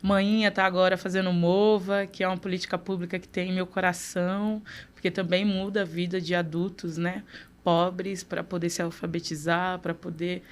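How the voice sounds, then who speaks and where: A woman in her thirties from Recife speaks as a podcast guest. Her voice sits fairly low, and she tells her story in a lively, warm way, leaning hard on key words. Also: moderate pace. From Recife, Pernambuco, Brazil. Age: 30s. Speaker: guest, city councillor. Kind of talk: casual interview.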